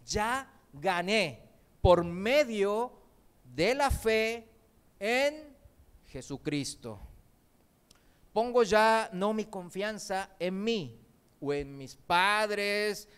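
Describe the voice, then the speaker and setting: A man preaching a sermon in church, a native Korean speaker with a Spanish accent learned in Argentina and mixed with Mexican. He talks in a lively way, joking and asking the congregation questions. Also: high-pitched, drawn-out words, pauses often, smooth. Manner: lively, jokes, asks the congregation rhetorical questions. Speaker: visiting preacher.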